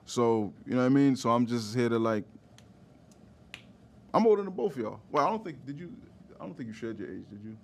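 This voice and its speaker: A man in his thirties chats as a podcast host. His delivery is casual and slangy, full of conversational check-ins, in a mid-pitched voice. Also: big pitch swings, brisk, pauses often. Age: 30s. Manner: repeats "you know what I mean" often, slangy.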